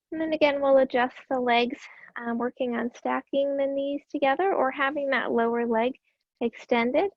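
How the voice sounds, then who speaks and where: Yoga teacher, female, high-pitched and raspy, gentle breath cues, dry and dull lo-fi sound. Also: dry recording. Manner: calm, gentle cueing with breath. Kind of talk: guided yoga class instruction.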